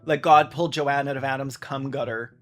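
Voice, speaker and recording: A man hosting a video essay, delivering scripted commentary in a sarcastic, deadpan manner. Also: medium pitch, a little fast, soft background music.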